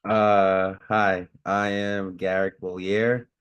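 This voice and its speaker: A man's voice: low-pitched, little pitch movement, takes it slowly, a bit bright, smooth, dry recording, dull audio. A man from New Jersey, a panel guest introducing himself hesitantly and unsure what to say.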